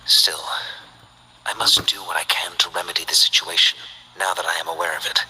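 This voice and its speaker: An actor playing a stern, principled scientist and inventor in a video game, speaking formally and precisely in a breathy voice. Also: male voice, low-pitched, flat delivery, pushed and bright, exaggerated voice, rough recording. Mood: resolute. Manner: formal, precise, measured diction. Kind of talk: scripted video game character voice lines.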